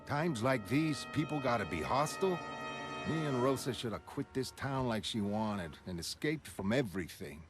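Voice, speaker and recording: A man's voice: medium pitch, expressive, moderate pace, soft background music, voice pushed up, lightly acted. Man doing a world-weary city guy, raised voice. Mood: weary regret. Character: gruff, regretful working-class city man.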